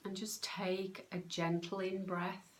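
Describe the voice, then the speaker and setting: A woman leading a guided meditation in a calm, soothing, unhurried way, with pauses between short phrases. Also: medium pitch, a little hiss or hum, rough recording. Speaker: meditation guide, soul coach.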